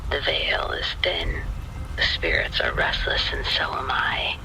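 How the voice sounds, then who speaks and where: A man voicing an eerie old woman in a horror story, with a low, guttural, rasping whisper and a slow, menacing manner. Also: sing-song, bright, forceful tone, exaggerated voice, poor audio.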